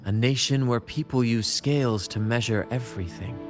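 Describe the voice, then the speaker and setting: A man's voice: medium pitch, moderate pace, lightly acted. Man voicing a confident young adventurer at sea, music behind. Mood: intrigued, wry. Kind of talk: video game voice acting.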